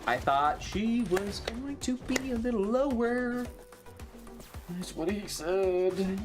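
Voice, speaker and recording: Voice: medium pitch, lively intonation, slow pace, faint music behind. An American game streamer giving casual live commentary, swearing often, exclaiming and repeating phrases. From North Carolina, US.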